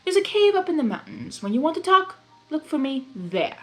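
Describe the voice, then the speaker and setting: A woman's voice: sits high, big pitch swings, fairly bright tone, faint music behind, voice pushed up. A woman voicing a mischievous male chaos spirit from a storybook, smug and theatrical, drawing out his persuasive offers. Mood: persuasive, mock reasonable. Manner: theatrical, drawn-out persuasive delivery.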